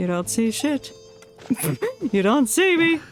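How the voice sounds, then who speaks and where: A game streamer with a mid-range, androgynous voice talks through live play in a casual, chatty way. The delivery is playful and excitable, often muttered as if to themselves, with game music running behind it. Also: medium pitch, takes it slowly. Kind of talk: casual live gaming commentary.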